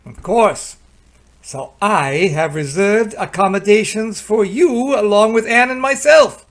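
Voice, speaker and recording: An actor playing an ailing but hearty and generous husband of fifty-five in an old-time radio drama. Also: male voice, high-pitched, takes it slowly. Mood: genial.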